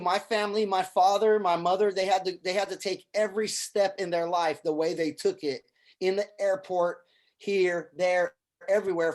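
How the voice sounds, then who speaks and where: Earnest man repeating his words. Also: high-pitched. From Syria. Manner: earnest, repeats words when starting sentences. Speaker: guest, army veteran and film producer. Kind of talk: casual conversation.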